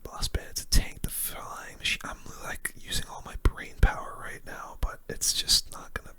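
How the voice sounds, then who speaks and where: Casting: ASMR gaming streamer, fully whispered delivery, soft and crisp with a sharp airy edge, casual rambling commentary up close. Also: normal speed. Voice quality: soft, breathy unvoiced whisper. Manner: casual, laid-back rambling. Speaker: gaming video creator.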